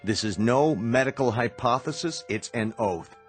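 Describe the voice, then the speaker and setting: An actor playing a grave, protective American doctor in a radio drama, measured, somber and terse. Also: male voice, medium pitch, expressive, faint music behind, lightly acted. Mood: bitter resolve. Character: grave, protective doctor, reluctant brother of the patient.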